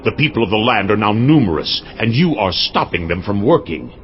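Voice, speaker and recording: Pharaoh, a low-voiced haughty king. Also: very animated, brisk. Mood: imperious. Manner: haughty, imperious. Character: Pharaoh, haughty dismissive male king of Egypt. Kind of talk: dramatized scripture audio. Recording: muffled, music underneath.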